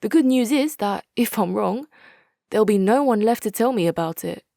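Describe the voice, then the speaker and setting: A hard-nosed woman commander for a strategy video game. She speaks in measured, authoritative phrases with a wry edge and a middle pitch. The sound is dry and close, free of room echo. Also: animated, creaky, warm tone. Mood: urgent, frank. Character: hard-nosed, articulate female military commander, dry and resolute. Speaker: video game faction leader. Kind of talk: dramatic scripted game quotes.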